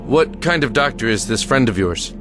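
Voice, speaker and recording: A man plays a guarded American army lieutenant in an audio drama. He is plain-spoken and carries weary authority at a middle pitch. Music runs clearly under his voice. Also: lively intonation, moderate pace, close to an everyday voice. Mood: questioning, firm.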